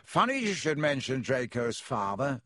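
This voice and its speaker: A man's voice: medium pitch, sing-song, moderate pace, a bit bright, dry recording. Nasty older schoolboy captain, voiced by a male narrator, boastful, careless taunts, dry storybook sound. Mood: gloating.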